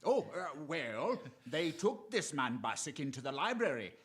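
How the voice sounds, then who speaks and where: Man playing eager, deferential undercover inspector, higher voice. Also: big pitch swings, moderate pace, fairly bright tone. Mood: eager reporting. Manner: hesitant, eager, respectful. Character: deferential police inspector working undercover as a butler. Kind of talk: theatrical radio drama acting.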